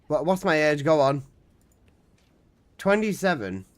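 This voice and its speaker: A young man in his twenties chats on a live game stream, his voice sitting a little high for a man and leaping up and down lively as he reacts to chat. He talks loosely and swears casually, getting loud when he protests. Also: measured pace. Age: 20s.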